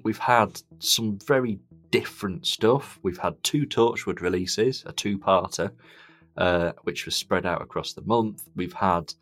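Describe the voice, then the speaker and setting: A man's voice: sits low, fairly bright tone. A man hosting a podcast, chatting casually and enthusiastically as he gives his reviews. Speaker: podcast host.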